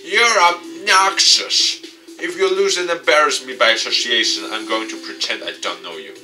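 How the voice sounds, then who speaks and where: A man's voice: medium pitch, moderate pace, bright, forceful tone, far from the mic, faint music behind, voice pushed up. A man voicing a bossy, scornful young woman in a video game, snappy and scolding.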